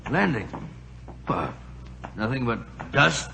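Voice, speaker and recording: An actor playing a nervous, loyal doctor sidekick, flustered and easily alarmed, in 1940s radio acting. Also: male voice, mid-range voice, very animated, takes it slowly, gravelly, pushed and bright, a little hiss or hum, full character voice.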